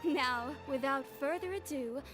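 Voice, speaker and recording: An extremely high-pitched female event host in a video game. She speaks in a formal, polished announcing style. Music sits clearly behind her. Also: measured pace. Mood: upbeat, formal. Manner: formal, presentational. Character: polished female presenter introducing a product demonstration. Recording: background music under the voice.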